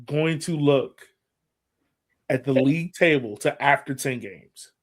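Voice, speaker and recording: A man's voice: mid-range voice, unhurried. A man co-hosts a casual sports podcast. He speaks in a medium register with an emphatic fan's delivery. He often restates a phrase to make his point. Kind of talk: casual sports fan chat.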